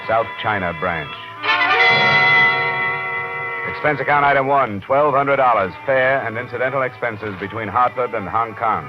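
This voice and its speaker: An actor playing a tough, world-weary American insurance investigator in a vintage radio drama, clipped and matter-of-fact. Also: male voice, medium pitch, moderate pace, close to an everyday voice. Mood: dry, weary. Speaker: lead actor in a radio detective series. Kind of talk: 1950s radio drama acting, first-person narration and dialogue. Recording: muffled vintage radio recording with music.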